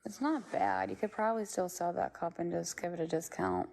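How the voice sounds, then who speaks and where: A woman's voice: fairly low pitch, sing-song, fairly quick, creaky, darkish tone. A woman from Florida livestreaming, thinking aloud and chatting casually while she works on a craft, with a rambling manner and casual swearing.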